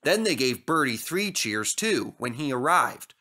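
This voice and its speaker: A man narrating a scripted children's story as an animated storyteller. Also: mid-range voice, measured pace.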